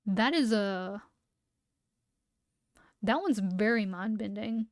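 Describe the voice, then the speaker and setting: A woman livestreamer reading document entries aloud and chatting between them, her voice a little hoarse from talking so much, sometimes skipping text with "blah, blah". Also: medium pitch, takes it slowly, dry recording, clean studio sound. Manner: skips text with "blah, blah" asides. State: losing her voice. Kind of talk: reading entries aloud, then casual chat.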